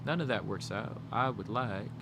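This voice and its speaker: A man's voice: on the low side, moderate pace. Rambling young man streaming over a hum. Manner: rambling, brainstorming jokes aloud, talking to chat. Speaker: livestreamer. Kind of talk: casual chat with live viewers.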